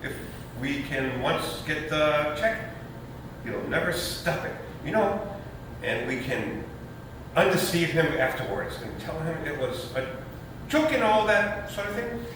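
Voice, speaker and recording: A man playing a flustered, fawning young nephew in a comic stage reading, stammering and blurting as he flatters his uncle. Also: on the high side, measured pace. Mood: fawning, nervous. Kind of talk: staged readers theater comedy. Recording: echoey hall, far-off mic, faint noise.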